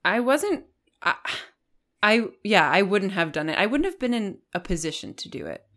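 A woman in her twenties co-hosts a casual podcast, firing off curious questions and quick reactions. Her voice swings widely and lively in pitch. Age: 20s. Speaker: podcast co-host.

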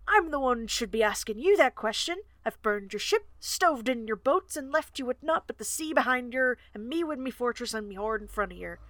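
A woman's voice: a little high, expressive, fairly quick, hardly any pauses, fairly bright tone, no room sound. A woman reading aloud as a cunning male corsair tyrant, using salty pirate talk with dropped words. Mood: taunting.

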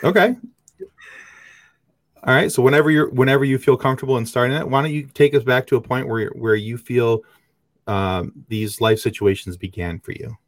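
A man hosting an interview, halting and repeating words as he encourages his guest. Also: medium pitch, no room sound, clean studio sound. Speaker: host. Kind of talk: warm interview introduction.

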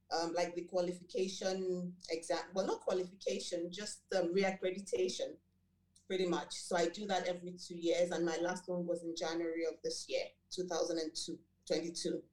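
A middle-aged Nigerian woman whose first language is Yoruba, answering interview questions in a measured way with many fillers and self-corrections. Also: lowish voice, little pitch movement, darkish tone, roomy sound, low-quality recording. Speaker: guest, school director and behavior analyst.

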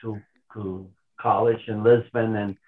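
A man, calling in and talking thoughtfully with pauses and restarts. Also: lowish voice, little pitch movement. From Portugal. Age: 60s. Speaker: caller. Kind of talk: casual call-in chat. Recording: tinny phone line.

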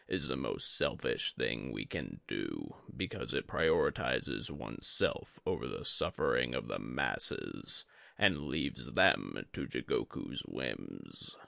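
A man voicing a solemn, resigned, tainted old sorcerer and teacher in a slow, grave, measured way for a fantasy audiobook. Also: a bass voice, gravelly, pushed and bright, no echo, studio quality, voice pushed down, heavily acted.

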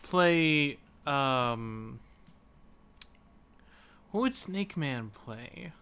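A man's voice: medium pitch, expressive, drawn-out words, pushed and bright, clear, resonant tone. A man co-hosting a podcast, chatting casually about a video game. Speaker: podcast co-host.

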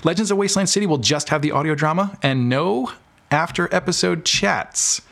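A man's voice: a little high, moderate pace, creaky, a bit bright. A man speaking as an interview guest, chatting informally and candidly with rambling explanations. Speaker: podcast guest, filmmaker and audio drama creator. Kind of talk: casual interview chat.

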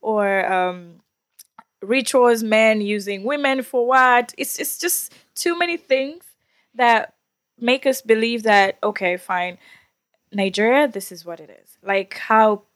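A woman's voice: mid-range voice, slow, no echo, clean studio sound. A woman from Tanzania, a guest on a casual podcast, giving blunt, emphatic opinions.

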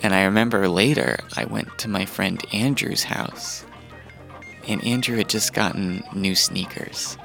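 A man's voice: on the low side, a little slow, gravelly, a bit bright. A man casually telling childhood stories in a conversational, chuckling way, with music underneath. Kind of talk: casual personal storytelling.

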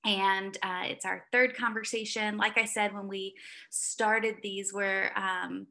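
A woman's voice: medium pitch, steady tone, slow, pushed and bright. A woman pastor hosting a casual church conversation, upbeat and chatty with many fillers. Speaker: associate director and pastor, host.

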